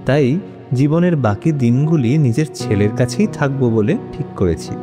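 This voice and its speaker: An actor playing a kindly retired grandfather who tells stories of his past in an indulgent, unhurried manner for an animated series. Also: medium pitch, natural voice. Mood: reflective. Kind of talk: animated audio story narration. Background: background music.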